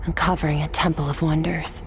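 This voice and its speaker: A woman's voice: deep voice, flat delivery, a little fast, soft background music. An actress playing a cool, serious, resolute swordswoman in a video game, speaking in a composed, firm and formal way. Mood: thoughtful, earnest. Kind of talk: video game voice acting, dramatic dialogue.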